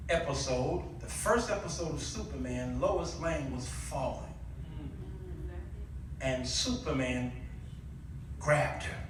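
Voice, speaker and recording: A man preaching an animated, colloquial sermon, repeating his punchlines and acting out bits of dialogue to draw responses from the congregation. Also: on the high side, slight background noise. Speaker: guest preacher. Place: church sanctuary before a responding congregation. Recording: very echoey hall, distant microphone.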